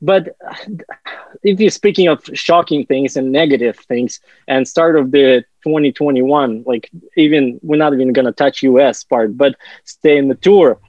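A man in his forties, a former ski racer, talking as a podcast guest in a rambling, halting way and laughing often. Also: medium pitch, darkish tone, no echo, muffled recording. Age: 40s. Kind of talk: casual podcast chat.

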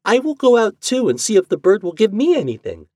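A man's voice: high voice, wildly swooping pitch, a little fast, darkish tone, no echo, clean studio sound, pitched above the performer's own voice, exaggerated voice. A man voicing a sweet, innocent little girl in a fairy tale, delighted and eager. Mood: hopeful.

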